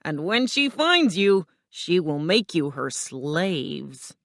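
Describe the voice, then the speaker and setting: Storybook gatekeeper, male, amused yet foreboding, slow matter-of-fact delivery by a female reader. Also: lowish voice, very animated, creaky, no room sound, studio quality, heavily acted. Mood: amused warning. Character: male city gate guardian, amused, ominously warning.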